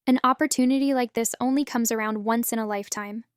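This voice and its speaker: A woman reading English example sentences slowly and clearly for a language lesson. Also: a little high, fairly even intonation, no room sound, studio quality.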